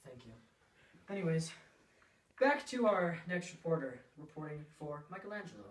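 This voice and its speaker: A man filling in as host of a mock news skit in a dry, deadpan way. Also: fairly high pitch, moderate pace, recorded from a distance. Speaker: stand-in host in a school skit. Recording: echoey room from afar with faint noise.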